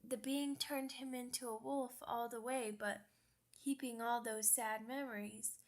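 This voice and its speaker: A woman voicing a sweet, timid young orphaned werewolf pup in an ASMR roleplay, with a childlike, hesitant, halting delivery. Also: on the high side, fairly even intonation. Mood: wistful storytelling.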